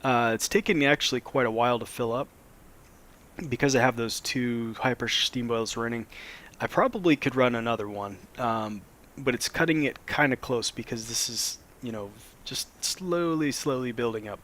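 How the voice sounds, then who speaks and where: A man, a little hoarse after a cold, casually explaining a modded game as he plays, with plenty of ums and restarts. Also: medium pitch, moderate pace. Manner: relaxed, rambling, lots of fillers. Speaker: Minecraft let's play host.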